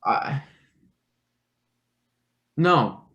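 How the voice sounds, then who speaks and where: A man playing an anxious, evasive young intern in a satirical play reading, speaking haltingly with stammers. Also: a bit bright, lo-fi sound. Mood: cornered, tense. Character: anxious young male unpaid intern, evasive, easily pressured. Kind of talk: table read of a satirical stage play.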